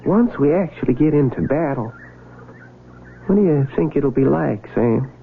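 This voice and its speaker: A man's voice: fairly high pitch, expressive, moderate pace, creaky. A man playing a sober, doubtful young Union soldier in a 1970s radio drama, plain-spoken and brooding with rural American speech. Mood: anxious. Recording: very noisy, tinny vintage radio broadcast.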